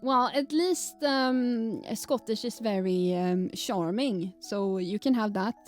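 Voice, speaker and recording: A woman's voice: mid-range voice, slow, darkish tone, faint music behind. A woman whose first language is Swedish, speaking with a light Swedish accent as a streamer chatting casually, rambling, fumbling her words and laughing often. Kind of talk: casual live-stream chat.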